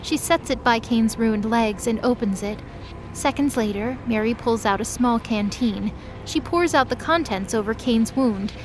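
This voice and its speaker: A woman's voice: fairly high pitch, measured pace, background noise. A woman giving scripted, dramatic narration as the narrator of an audio drama.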